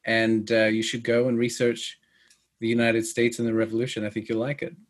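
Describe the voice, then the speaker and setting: A man in his thirties from Australia, with an Australian accent that sounds close to British, speaking as a guest on an interview show, measured and pausing often. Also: medium pitch, little pitch movement. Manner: measured, with many pauses and fillers. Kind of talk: podcast interview.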